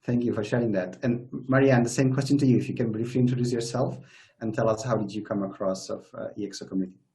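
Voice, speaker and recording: A man hosting an online community interview over a video call, asking questions with frequent pauses and restarts. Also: medium pitch, steady tone, mellow. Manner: friendly, hesitant, many fillers and restarts. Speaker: community host.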